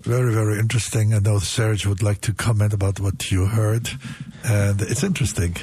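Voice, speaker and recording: A man hosting a radio talk show, halting often with fillers as he moderates in a studio. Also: fairly low pitch, fairly even intonation, a little mellow, husky, no room sound.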